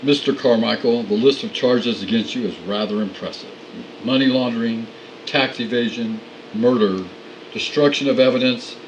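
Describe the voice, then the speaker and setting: Stern old-fashioned judge, measured and formal, played by a man, over audible hiss. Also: medium pitch, lightly acted, low-quality recording. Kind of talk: radio play acting.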